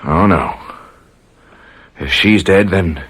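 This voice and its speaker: An actor playing an emotional, stubborn captive husband under torture in an old-time radio drama. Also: male voice, low voice, slow pace, a bit bright, breathy edge, exaggerated voice. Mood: anguished, defiant.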